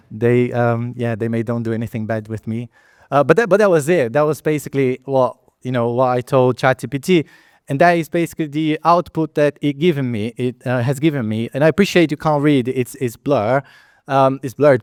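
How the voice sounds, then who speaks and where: Brazilian-accented man, smooth dry voice. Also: medium pitch, no echo. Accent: Brazilian Portuguese. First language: Portuguese. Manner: conversational, many fillers and restarts, non-native phrasing. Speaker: product lead and Agile trainer giving a talk. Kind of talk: conference presentation. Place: event hall at a meetup.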